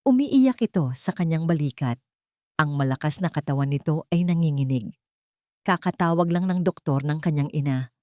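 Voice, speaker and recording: A woman narrating a scripted dramatic love story in a measured, solemn storytelling manner. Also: sits low, warm tone, dry recording, clean studio sound.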